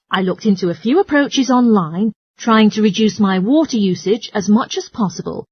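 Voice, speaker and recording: Woman as a lively female radio anchor. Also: mid-range voice, slightly rough, no room sound. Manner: formal, presentational. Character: female radio anchor addressing listeners about home living. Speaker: radio presenter. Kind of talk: scripted radio broadcast talk.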